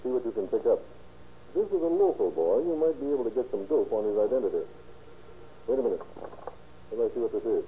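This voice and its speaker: A man's voice: mid-range voice, animated, quick pace, pauses often, natural voice. An actor playing a commanding, authoritative male police captain in a 1930s radio drama. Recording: tinny old radio recording, faint noise.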